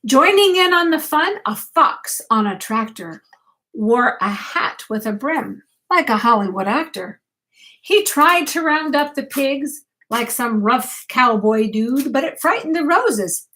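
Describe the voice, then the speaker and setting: A woman's voice: high voice, animated, takes it slowly, fairly bright tone, poor audio. An older woman, a grandmother, reads a rhyming picture book aloud expressively and unhurriedly, speaking directly to young children. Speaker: grandmother reading a bedtime story to children.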